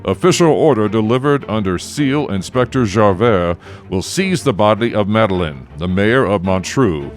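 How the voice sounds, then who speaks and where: An actor playing a stern, authoritative presiding judge in a radio drama, with measured delivery. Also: male voice, fairly low pitch, lively intonation, faint music behind, natural voice. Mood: official.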